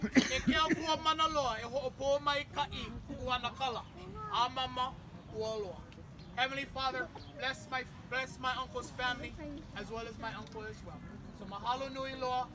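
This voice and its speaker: High-voiced woman with a lilt. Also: fairly even intonation, normal speed. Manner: playful quizzing, then prayerful. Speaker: memorial service leader. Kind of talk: family memorial address with audience games. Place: outdoors at a beach. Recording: outdoor ambience with clear traffic noise.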